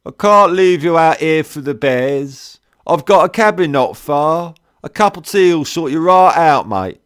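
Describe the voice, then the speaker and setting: A man's voice: fairly high pitch, lively intonation, unhurried, clean tone, voice pushed up. A man voicing a scarred, cheery and sly cockney whiskey trader who drawls and calls everyone mate. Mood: chummy. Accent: London cockney.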